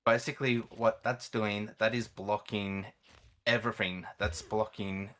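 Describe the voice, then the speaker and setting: A man with a low voice and a bright, forward edge speaks as a seasoned gamer. He explains things in a casual, conversational way as a guest on a chat. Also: steady tone, measured pace, a little hiss or hum. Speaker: guest, experienced gamer and event organiser.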